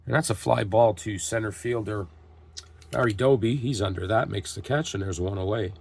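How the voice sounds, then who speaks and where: A man's voice: fairly low pitch, moderate pace, vocal fry, slightly rough. A man hosting a tabletop baseball game, reading lineups and calling plays like a broadcaster while getting over a cold. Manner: steady, reads lineups and calls plays like a broadcaster. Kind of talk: play-by-play narration of a dice game.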